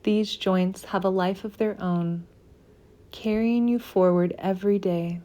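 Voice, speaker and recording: A woman leading a guided meditation in a soft, soothing, unhurried manner with gentle pauses. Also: mid-range voice, steady tone.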